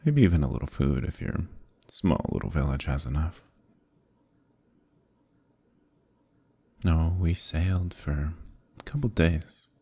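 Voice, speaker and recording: A man playing a smugly menacing evil knight in an ASMR roleplay, speaking in a slow, intimate, condescending monologue with softly threatening delivery. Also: dry recording, heavily acted. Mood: cold, menacing. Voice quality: very deep, gravelly, husky.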